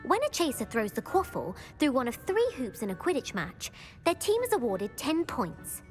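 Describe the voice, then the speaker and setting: A woman's voice: sits high, very animated, moderate pace, soft background music. A woman playing an eager, bookish schoolgirl quizmaster in a video game, precise and enthusiastic. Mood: delighted, excited. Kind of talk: video game character acting.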